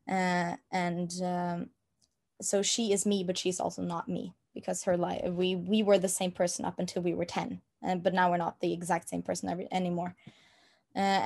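A woman's voice: fairly low pitch, a little mellow. A young woman from Norway with a Norwegian accent, telling an interviewer about her inner worlds in a hesitant way, with many ums and restarts. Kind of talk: casual interview talk. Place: room at home.

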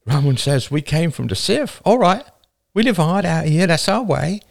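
A man's voice: a little high, animated, normal speed, creaky, pitched above the performer's own voice. A man voicing an indignant, despairing woman of a hardy clan, impassioned and stumbling over words, in an audiobook story. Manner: impassioned, stammering.

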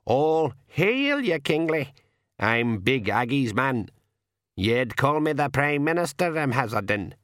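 Cracked old Scottish pixie. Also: male voice, mid-range voice, sing-song, slow pace, fairly bright tone, dry recording, clean studio sound. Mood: calm, welcoming. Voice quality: cracked, aged voice. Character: wizened old male pixie with Scottish dialect, crafty.

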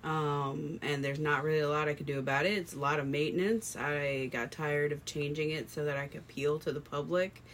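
A woman with a naturally low voice co-hosting a casual podcast, speaking candidly about herself. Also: fairly even intonation, fairly quick, hardly any pauses, a little hiss or hum. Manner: candid, personal. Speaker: podcast co-host. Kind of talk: casual discussion.

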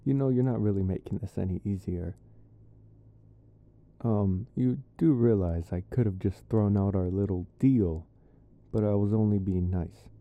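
A low-pitched young college vampire played by a man talks straight to the listener in an ASMR boyfriend roleplay. He is soft-spoken and halting, teasing in a wry, slightly awkward way, with plain affection underneath. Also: dry recording, narrow, tinny audio. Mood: exasperated, coaxing.

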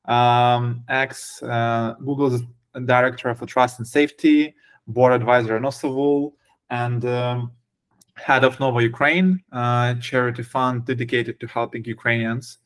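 Guy hosting a podcast, kinda hesitant, lots of ums and likes, mid pitch. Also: fairly even intonation, measured pace, smooth. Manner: hesitant, many fillers and restarts. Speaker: podcast host. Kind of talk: casual interview hosting.

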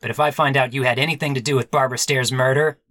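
A man playing a bright-voiced deadpan noir detective. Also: medium pitch, fast talker, lightly acted. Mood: stern. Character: hard-boiled, bumbling noir police detective, earnest and wry. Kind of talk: comic noir radio play with narration.

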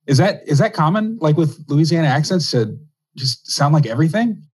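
Husky laid-back male podcast host. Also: fairly high pitch, animated, normal speed, darkish tone. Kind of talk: casual interview chat.

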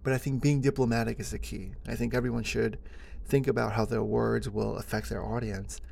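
A man's voice: mid-range voice, flat delivery, fairly quick, creaky, a little mellow. A man hosting his own podcast, talking alone in a casual, earnest way with plenty of "uh" and "you know" fillers. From United States (born in Korea). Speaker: podcast host. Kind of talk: solo podcast monologue.

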